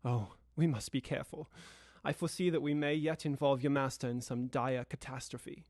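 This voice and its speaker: A man's voice: medium pitch, fairly even intonation, normal speed, a little mellow, no echo, studio quality, close to an everyday voice. A young man playing an anxious, cautious Victorian lawyer in an audio drama, with a formal, dramatic delivery. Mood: fearful. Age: young adult.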